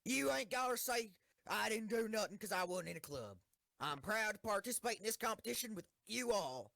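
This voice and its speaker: Woman playing a brash schoolgirl tank commander, animated delivery. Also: mid-range voice, brisk, creaky, no echo, clean studio sound. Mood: bombastic. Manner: blunt, swaggering oratory. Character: brash, bombastic schoolgirl tank commander giving a war speech. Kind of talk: rousing military speech in a comic audio drama.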